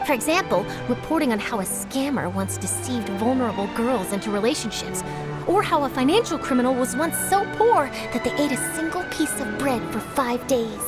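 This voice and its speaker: An eager young female reporter in an anime game, very high and perky. She is ambitious and theatrical, swooping up and down as she gushes, over clear background music. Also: animated, a little fast. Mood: confident, boastful. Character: eager, ambitious young woman newspaper reporter, bubbly and dramatic.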